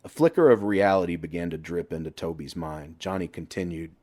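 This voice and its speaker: A man's voice: deep voice, fairly quick, darkish tone. A man narrating scripted fiction expressively as an audiobook reader.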